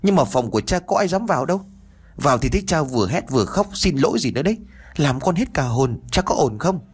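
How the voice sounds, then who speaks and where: A sharp-tongued young mistress snaps orders and whines, as a man plays her in a spoken horror tale. The husky voice leaps around in pitch. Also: big pitch swings, fast. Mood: whiny, worried. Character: sharp-tongued, cruel young daughter of the house.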